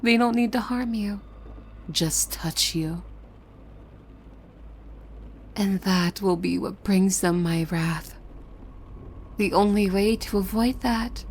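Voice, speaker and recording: A woman's voice: mid-range voice, darkish tone, a little hiss or hum, lightly acted. A woman playing a possessive, doting demon maid in an ASMR roleplay, speaking formally and servilely with menace underneath, often slipping into a whisper. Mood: possessive, stern.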